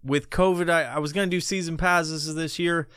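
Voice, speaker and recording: A man's voice: fairly high pitch, steady tone, moderate pace, a bit bright, clean tone, no room sound. A middle-aged man from New York hosting a podcast, swearing constantly and laughing through rambling stories. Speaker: podcast host. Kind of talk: profane storytelling monologue.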